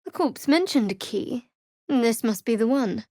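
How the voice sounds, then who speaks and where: Guarded young shadow cleric woman, wry and measured, game dialogue, bit high, close dry sound. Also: animated, warm tone, dry recording. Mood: weary, curious. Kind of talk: video game voice acting.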